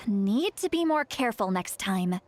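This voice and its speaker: An actress playing a spirited young adventurer in a video game, caring but feisty, casual and expressive. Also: female voice, mid-range voice, unhurried. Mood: brisk, reassuring. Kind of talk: video game character dialogue. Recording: music behind the voice.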